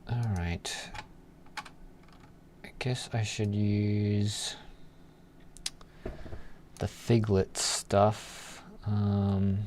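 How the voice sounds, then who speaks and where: A man with a low voice talks slowly, as if thinking out loud while he works at a computer. He mutters to himself and lets phrases trail off in a casual streamer's way. Faint noise and keyboard typing sit behind him. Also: little pitch movement. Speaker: live programming streamer.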